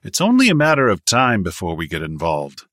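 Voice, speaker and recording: A man voicing a brash, domineering wealthy businessman in an audiobook, forceful and cocksure. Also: low voice, wildly swooping pitch, fairly quick, no room sound, studio quality, exaggerated voice. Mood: combative, confident.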